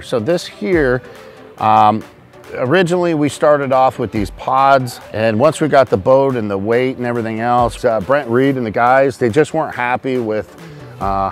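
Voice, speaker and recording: A man's voice: medium pitch, darkish tone. A middle-aged man giving an informal, technical walkthrough of equipment as a host speaking outdoors. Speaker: host, charter fishing captain. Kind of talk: casual product walkthrough, explaining equipment. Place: outdoors on a boat roof at a marina.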